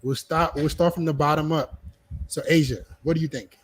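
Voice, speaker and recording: A man with a fairly high voice hosts a casual podcast panel. He presses his guests with pointed questions. He keeps cutting in and repeating himself to steer the talk. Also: steady tone, normal speed, husky. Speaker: podcast host.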